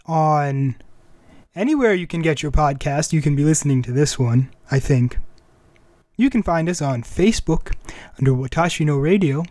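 A man's voice: a little high, a little mellow, no echo. A man hosting a casual podcast, chatting informally and a little hesitant when he improvises. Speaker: podcast host.

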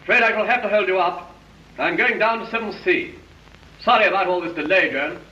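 An actor playing an exasperated radio drama producer in a 1930s British film, with a clipped, impatient cut-glass English accent. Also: male voice, high-pitched, fairly quick, pushed and bright. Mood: brisk. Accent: cut-glass upper-class English. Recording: muffled, hissy 1930s film soundtrack.

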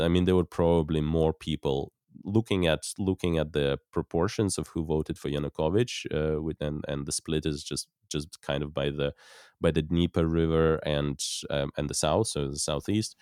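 Very deep-voiced man interviewing thoughtfully. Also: steady tone, moderate pace, a little mellow, clean tone, no echo, studio quality. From Ukraine. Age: 40s. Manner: thoughtful, searching for words. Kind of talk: conversational interview.

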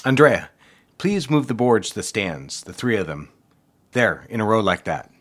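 A demanding boss in an office drama, cold and brusque. His low male voice gives curt orders with tight control. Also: very animated, moderate pace, a bit bright. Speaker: actor in an audio drama. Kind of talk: dramatic audio drama acting.

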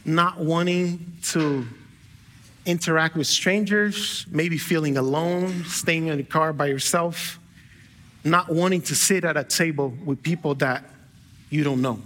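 A man's voice: fairly high pitch, a little slow, fairly bright tone. A man from Brazil preaching a sermon to a church congregation. He speaks conversationally, often correcting himself mid-sentence and adding light humor. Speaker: guest pastor. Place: church sanctuary with a live congregation.

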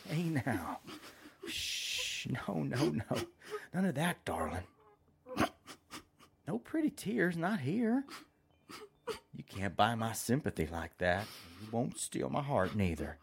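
An actor playing a menacing male kidnapper with a slow, soothing yet threatening drawl. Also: medium pitch, huge pitch swings, gravelly, husky, exaggerated voice. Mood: leering.

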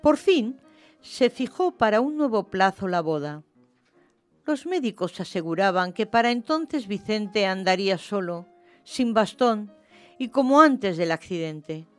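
Female narrator for radio drama: average pitch, scripted literary reading, soft music bed. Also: sing-song, unhurried.

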